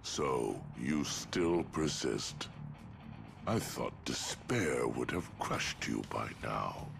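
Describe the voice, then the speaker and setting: An actor playing a solemn, brooding sorcerer and older brother in a video game, speaking formally and gravely over music. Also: male voice, a bass voice, expressive, very slow, exaggerated voice. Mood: stern, grave. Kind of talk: theatrical video game cutscene acting.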